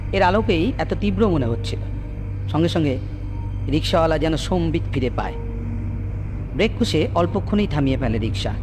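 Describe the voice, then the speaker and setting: A man narrates a scripted horror story in a suspenseful, theatrical way. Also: medium pitch. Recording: spooky music behind the voice. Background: scary music.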